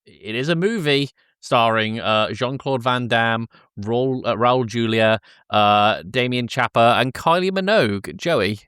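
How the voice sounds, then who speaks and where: A man's voice: medium pitch, unhurried, hardly any pauses, fairly bright tone, smooth, no echo, clean studio sound. A man hosting a casual film discussion podcast, often hesitating and correcting himself as he goes. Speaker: podcast host.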